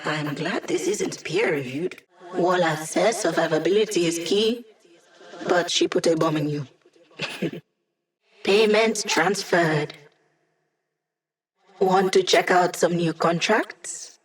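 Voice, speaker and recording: A woman's voice: lowish voice, slow, long pauses, poor audio. A woman playing a wry, playful young hacker in a video game, with a casual, quippy way of talking. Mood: dry, upbeat. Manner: breezy, casual, teasing, quippy. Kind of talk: scripted game character voice lines.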